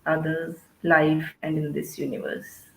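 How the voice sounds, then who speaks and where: A woman's voice: deep voice, flat delivery, measured pace, vocal fry, a bit bright. A woman answering conversationally as a panelist in a group discussion. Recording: roomy echo, faint ambient noise.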